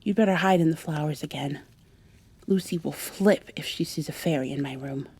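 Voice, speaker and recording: A woman in an ASMR roleplay playing a gentle, wry young witch who coaxes her plants in a soft-spoken way. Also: sits low, moderate pace, slight background noise. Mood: wry, muttering.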